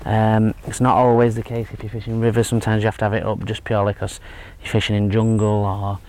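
Man, thirties, angling instructor, casual and halting, monotone delivery, lakeside outdoors. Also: fairly low pitch. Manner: relaxed, hesitant, many fillers and restarts. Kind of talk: casual fishing tutorial. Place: outdoors at a lakeside fishing spot. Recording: faint outdoor background noise. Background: faint outdoor ambience.

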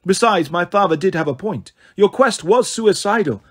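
A man acts out a cold snow goddess from a fantasy storybook. The voice sits high and stays soft, gentle and purring. She is haughty and condescending, taunting with cruel, silky smugness. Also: expressive, normal speed. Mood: gloating, condescending. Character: beautiful cold snow goddess, haughty, sadistically smug villainess.

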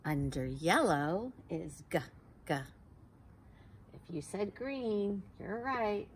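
A woman in her forties reads a children's picture book aloud on an outdoor patio. Her delivery is unhurried and very animated, rising and falling in a sing-song way as she sounds out letters and gasps for effect. A faint hum of outdoor air sits under her voice. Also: mid-range voice. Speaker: storytime reader for children. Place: backyard patio outdoors by a wooden fence.

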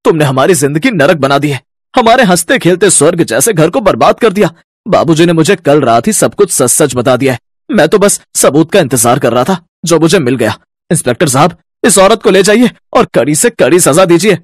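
Wronged young son for cartoon, played by a man: indignant, declamatory, raised husky voice. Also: a little high, animated, fairly quick, dry recording, clean studio sound, voice pushed up. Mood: outraged. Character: betrayed, outraged young adult son confronting his wife.

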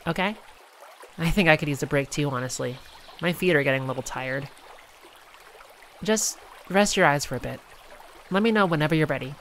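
A performer voicing a cheerful, affectionate girlfriend on a theme park date, speaking gently and unhurriedly in a one-sided ASMR roleplay. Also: medium pitch, slight background noise, natural voice. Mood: tender, soothing. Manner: gentle, unhurried, softly conversational.

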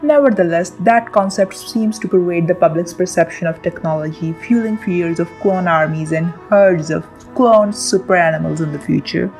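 A woman hosting a science channel, reading a scripted explainer in a lively, emphatic way. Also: fairly low pitch, moderate pace, few pauses, faint music behind, poor audio. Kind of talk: scripted educational narration. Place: voice-over with soft background music.

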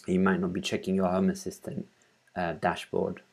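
A man in his thirties giving an informal step-by-step software tutorial, haltingly, thinking aloud as he works. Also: sits low, completely flat delivery, vocal fry. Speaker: tutorial presenter. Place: small room at home, indoors.

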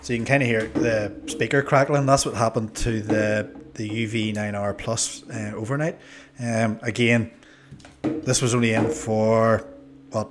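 Man, Northern Irish accent, lowish voice, casual reviewer, hesitant delivery, some background noise. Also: level tone, unhurried. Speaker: review channel host. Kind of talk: casual product review to camera. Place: small indoor room. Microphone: desk microphone on a boom arm.

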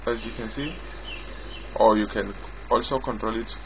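A man giving an informal screen-recorded software demo as its developer, in a halting way, often repeating and rephrasing. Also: fairly low pitch, fairly even intonation, noisy background. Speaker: software developer demonstrating own app. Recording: dull lo-fi mic with prominent music.

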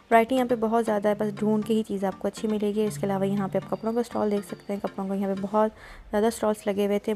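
Chatty female vlogger, mellow tone, light music underneath. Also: mid-range voice. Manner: chatty, explains prices step by step. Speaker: vlogger touring a market. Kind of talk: casual voice-over narration.

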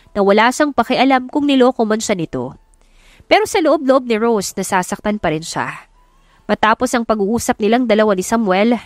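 A woman's voice: mid-range voice, no echo, studio quality. A woman reading a scripted romance story as a dramatic audiobook narrator.

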